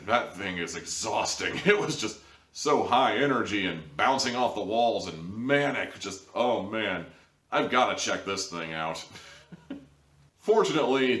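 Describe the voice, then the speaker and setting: A man with a low voice hosts a casual review, speaking in a wry, conversational and emphatic manner. He sounds a little away from the microphone, in a room with noticeable echo. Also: fairly bright tone. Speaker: audio drama reviewer, host. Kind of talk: casual, opinionated review commentary. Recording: roomy, distant microphone.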